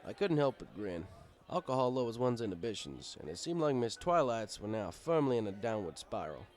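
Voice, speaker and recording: A man's voice: medium pitch, sing-song, brisk. A man reading a first-person story as scripted narration, in a wry, conversational manner. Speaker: story reader.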